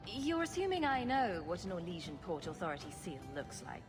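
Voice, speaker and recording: Wry, animated rogue heroine. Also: female voice, fairly low pitch, brisk, over music. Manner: wry, flippant. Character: sarcastic, quick-witted female rogue adventurer. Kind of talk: video game dialogue acting.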